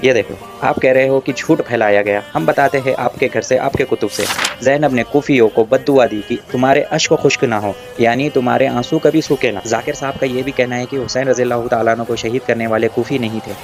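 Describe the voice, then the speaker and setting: A man's voice: medium pitch, steady tone, fairly quick, over music. A man giving an argumentative religious rebuttal, addressing his opponent directly in a taunting, confrontational way.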